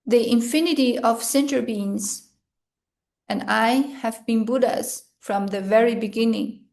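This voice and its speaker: A woman reading a scripted guided meditation in short, measured phrases with pauses between them. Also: on the high side, vocal fry, darkish tone.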